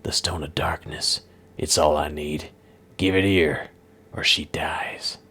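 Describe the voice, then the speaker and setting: A man voicing a gruff, menacing kidnapper who threatens in a slow, hissing half-whisper. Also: very deep voice, steady tone, sharp tone, pitched below the performer's own voice, heavily acted. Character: gruff menacing male kidnapper, knife-wielding thug. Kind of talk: audiobook reading.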